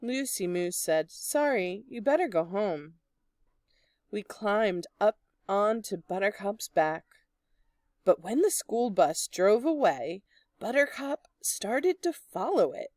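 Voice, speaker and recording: Female storybook reader, slow and lively in pitch, close dry recording. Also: no echo. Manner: animated, deliberate storytelling. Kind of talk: expressive children's read-aloud.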